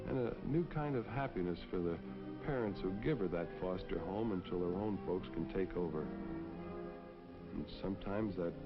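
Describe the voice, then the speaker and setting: A kindly American beat cop, low-pitched and folksy. He talks earnestly and persuasively in the manner of a 1940s film, with music playing behind him on a dull vintage soundtrack. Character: kindly, earnest neighborhood policeman, a man. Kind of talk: 1940s propaganda film acting and narration.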